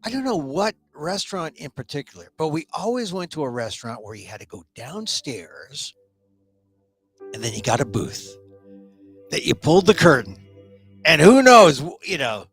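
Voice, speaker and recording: Animated chatty male talk-show host. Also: on the high side, moderate pace, breathy edge, faint music behind. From United States. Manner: animated, chatty, rambling with restarts. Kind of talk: casual solo talk show.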